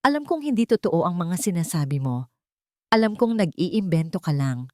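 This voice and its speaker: A woman's voice: lowish voice, moderate pace, dry recording, studio quality. Woman as a cold, authoritative betrayed husband. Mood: stern. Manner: calm, sharp, authoritative. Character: betrayed wealthy husband, cold, controlled, stern man.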